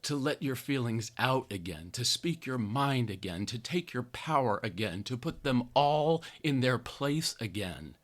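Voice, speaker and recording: An American man reading an opinion essay aloud as a podcast host, with an emphatic, theatrical, sardonic delivery and drawn-out stressed phrases. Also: medium pitch, animated, a bit bright.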